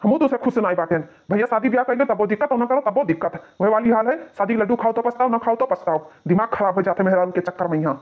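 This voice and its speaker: Phone-like lo-fi sound, cartoon village husband, acted by a man, Awadhi accent, high-pitched, exasperated, nagging. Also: fast talker, hardly any pauses, faint music behind, natural voice. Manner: nagging, pleading, grumbling. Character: nagging, exasperated young village husband, anxious about honour. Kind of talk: exaggerated cartoon comedy bickering.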